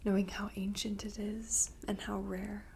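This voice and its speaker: A young woman playing a mysterious, gentle seaside shopkeeper in a whispered ASMR roleplay, hushed, unhurried and intimate. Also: medium pitch, little pitch movement, a little hiss or hum, natural voice. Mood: hushed, inviting. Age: young adult. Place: dim candlelit tabletop set indoors.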